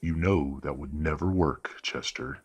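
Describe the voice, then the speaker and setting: A man playing a stern, courteous, middle-aged werewolf father in an audio roleplay drama, speaking in a formal, measured, authoritative way. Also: rumbling low, slightly rough, exaggerated voice. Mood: measured, insistent.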